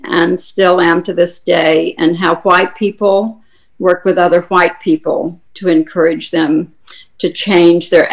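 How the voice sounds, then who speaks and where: Soft-spoken older woman from Tennessee. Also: on the low side, gravelly, poor audio. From Tennessee, USA. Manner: soft, earnest, reflective. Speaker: panelist, activist. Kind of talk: video call panel introduction. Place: home room. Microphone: laptop webcam, close.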